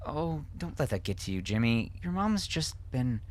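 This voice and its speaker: A man acts out a weary father in an audiobook. He explains things carefully and haltingly, trying to soothe. His pitch rises and falls a great deal. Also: very animated, close to an everyday voice. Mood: reassuring, uneasy. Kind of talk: audiobook character acting.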